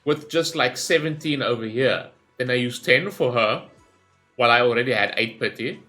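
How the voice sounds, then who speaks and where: A man's voice: mid-range voice, normal speed, sharp tone, soft background music. A man giving casual gameplay commentary, rambling as he thinks aloud and swearing freely. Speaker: gaming streamer recording a reaction video.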